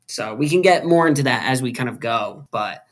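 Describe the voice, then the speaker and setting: A man's voice: medium pitch, animated, moderate pace. A man in his twenties hosting a podcast, chatting and teasing his co-host about a film. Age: 20s. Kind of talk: casual movie review chat.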